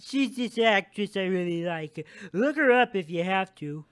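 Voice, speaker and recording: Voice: mid-range voice, animated, a little slow, smooth, lightly acted. An actor playing a childish, whimsical, bossy king in a comic audio sketch, flippant and slangy. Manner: flippant, slangy royal proclamations.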